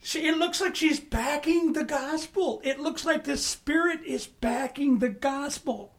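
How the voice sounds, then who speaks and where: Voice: high voice, measured pace. A pastor teaching a Bible study in a conversational way, often asking the room "right?" and "amen?", with bursts of emphasis.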